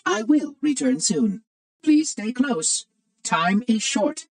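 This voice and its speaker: A man's voice: very high-pitched, slow, no echo, studio quality, distant mic, exaggerated voice. A man playing a prim, fussy, ancient robot monitor in a video game, speaking in a formal, precise, oddly cheery way with light robotic processing. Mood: polite, brisk. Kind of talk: video game character acting.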